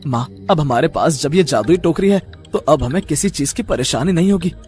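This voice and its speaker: An earnest young village lad acted by a man in a cartoon tale, dutiful and eager. His voice is high for a male, with faint music behind it. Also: animated, brisk, a little mellow, natural voice. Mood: happy. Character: hardworking, dutiful young samosa-maker son, eager and polite. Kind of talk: cartoon story dialogue.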